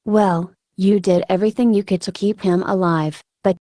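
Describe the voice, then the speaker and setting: A woman giving reflective interview answers in a halting, thoughtful way with frequent filler words. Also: mid-range voice, fairly even intonation, warm tone, no room sound, clean studio sound. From Ukraine. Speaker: military combat medic being interviewed.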